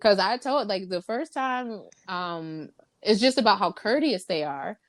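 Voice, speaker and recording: Podcast host, young American woman, animated storyteller, dry sound. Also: medium pitch, unhurried, dry recording. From United States. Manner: animated storyteller, acts out quotes. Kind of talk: casual group chat.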